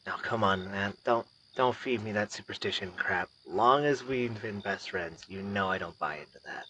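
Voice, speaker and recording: A man's voice: fairly low pitch, moderate pace, pushed and bright. A male actor plays an eerie young man who may not be human, in a horror audio drama. He speaks to the listener like an old buddy, coaxing and exasperated, over a noisy bed of night ambience. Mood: exasperated, impatient. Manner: casual, coaxing, exasperated, addressing the listener directly. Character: eerie young male missing friend, maybe a creature in disguise. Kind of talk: immersive horror audio roleplay acting.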